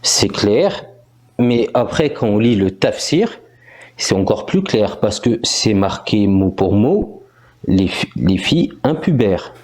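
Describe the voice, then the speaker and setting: A man's voice: on the low side, very animated, slow, darkish tone. A man on a live online voice call making a brief, blunt, matter-of-fact comment. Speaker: debate panelist. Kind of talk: casual live-stream discussion.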